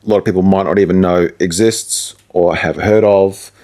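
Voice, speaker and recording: A man's voice: sits low, steady tone, moderate pace, a little mellow. An Australian man, a radio presenter and musician, chatting casually as an interview guest.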